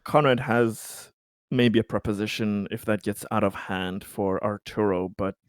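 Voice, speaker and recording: A man playing a tabletop roleplaying game, casually narrating a character's plans in halting, hesitant phrases with long pauses. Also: lowish voice, fairly even intonation, clean tone, dry recording, studio quality.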